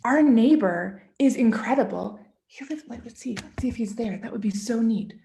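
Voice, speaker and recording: A woman children's book author talking casually and interactively to children on a video call, expressive and encouraging, often asking questions. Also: a little high, moderate pace, vocal fry. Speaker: children's book author giving a read-aloud.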